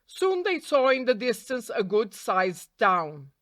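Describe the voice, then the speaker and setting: A woman's voice: on the high side, dry recording. Woman reading a fairytale, dry close sound. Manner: expressive, deliberate storybook reading. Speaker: storyteller. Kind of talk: scripted fairytale narration for English learners.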